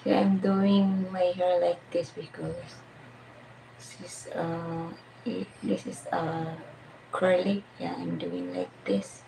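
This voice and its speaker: A woman's voice: lowish voice, slow pace, creaky, over music, rough recording. A Filipina woman with a Filipino accent hosting a casual live stream, chatting with viewers, greeting them by name and laughing often. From Philippines.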